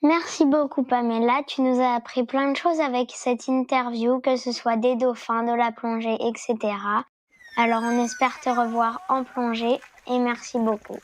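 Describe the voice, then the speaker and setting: A woman's voice: fairly high pitch, little pitch movement, moderate pace, no echo. A young woman speaking casually as an interview host.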